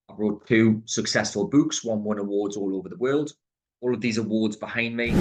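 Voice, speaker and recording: A man's voice: on the low side, dry recording, low-quality recording. Blunt Geordie man in his thirties. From Newcastle upon Tyne, England. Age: 30s. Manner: blunt, streetwise, laughs mid-sentence. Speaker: podcast host. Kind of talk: candid personal storytelling.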